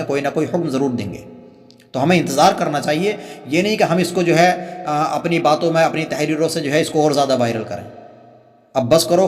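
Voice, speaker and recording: Male religious commentator, fairly high voice in long reverb. Earnest, pleading, emphatic, repeats phrases. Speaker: religious commentator and channel host. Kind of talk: earnest spoken commentary to viewers.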